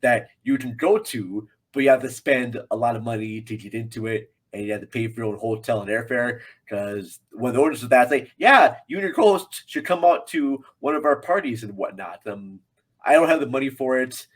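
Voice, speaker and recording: A man's voice: medium pitch, brisk. A man guesting on a film podcast, chatting casually in a rambling, joking manner. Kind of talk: casual chat about a film.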